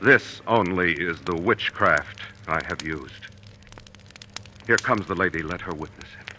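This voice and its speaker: An actor playing a proud, noble Moorish general and devoted husband, declaiming in measured old radio Shakespeare style. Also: male voice, low voice, noisy background. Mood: stately, tender. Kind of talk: 1940s radio Shakespeare acting. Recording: old radio broadcast with music.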